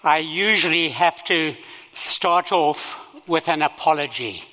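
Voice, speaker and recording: An older man with a Zimbabwean accent, speaking as a missionary at a church service, slow and halting with many fillers. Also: a little high, pushed and bright, in a large hall. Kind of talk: sermon.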